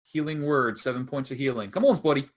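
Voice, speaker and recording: A man playing a tabletop role-playing game, chatty and friendly, getting animated when speaking for his character. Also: mid-range voice, a little fast. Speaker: player. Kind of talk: casual tabletop play. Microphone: headset or desk mic on a voice call.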